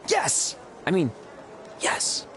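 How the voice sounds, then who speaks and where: A man's voice: medium pitch, sing-song, fairly quick, close to an everyday voice. A man acting as a cocky, paranoid, goofy wrestling champion, boastful and comically secretive in a scripted drama. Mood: excitable. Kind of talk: scripted comedic drama dialogue. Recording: faintly noisy location ambience.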